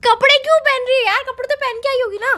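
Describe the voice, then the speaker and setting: A young woman with an extremely high, sharp voice in a casual phone argument. She fires off questions quickly and insistently, slipping into pleading as she presses her partner. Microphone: phone call.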